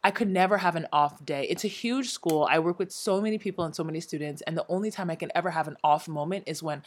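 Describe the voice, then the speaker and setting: Young teacher woman, lots of energy, low-ish voice, acts out quotes all the time. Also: quick pace. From Nigeria and America. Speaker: English teacher in Korea. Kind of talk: casual chat.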